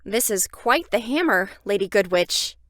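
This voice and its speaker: A woman's voice: medium pitch, animated, unhurried, fairly bright tone, no room sound. A woman in a fan dub acting a formal, demanding noblewoman with clipped, pointed speech. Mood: formal, cool. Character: formal, stern, demanding noblewoman of a royal court.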